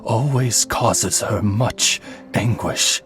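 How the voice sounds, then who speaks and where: A man playing a princess's courteous, dignified familiar in a video game, speaking in formal, measured, eloquent phrases. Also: lowish voice. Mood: solemn, deferential. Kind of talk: video game character acting. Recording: music clearly behind the voice.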